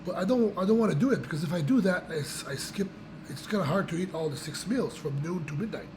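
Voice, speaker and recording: A young man with a voice gone raspy from a cold, chatting casually to his viewers in a rambling way with many restarts and fillers. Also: sits high, expressive, fast, background noise. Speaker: vlogger. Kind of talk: casual chat to camera.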